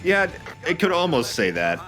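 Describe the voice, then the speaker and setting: A man commentates casually on a video game with a lively, swinging pitch. His joking is wry and mock-dramatic. Game music plays clearly behind his voice. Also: moderate pace. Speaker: let's play commentator. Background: loud video game music.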